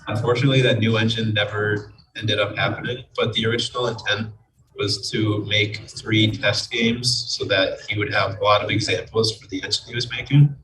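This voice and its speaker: A man explaining his work as a game programmer and writer in an interview. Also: lowish voice. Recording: distant mic with crowd chatter behind.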